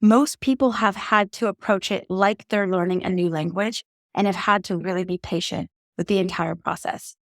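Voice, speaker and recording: A woman hosting a podcast, giving earnest, encouraging advice in a steady, reflective teaching monologue. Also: medium pitch, normal speed, no room sound, clean studio sound. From native English speaker. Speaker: podcast host.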